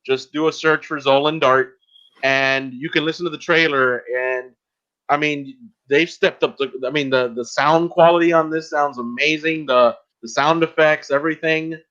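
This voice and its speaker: A man hosting a casual podcast in an informal, halting, promotional way. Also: a little high, fairly even intonation, pushed and bright, muffled recording. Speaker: podcast host.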